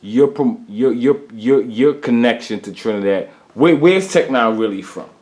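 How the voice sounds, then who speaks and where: A man's voice: mid-range voice, lively intonation, unhurried, a little mellow. A man interviewing a guest, asking questions casually and repeating words as he stammers.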